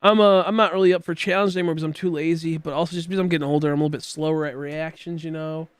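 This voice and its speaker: A man in his late twenties chats loosely with his viewers and a friend during a game stream, wandering between topics and poking fun at himself. His voice sits a touch high for a man. The sound is close and dry, with no room around it. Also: steady tone, very fast, smooth, no echo. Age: 20s. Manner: rambling, self-deprecating, chatting with viewers and a friend. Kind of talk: casual livestream chat while gaming.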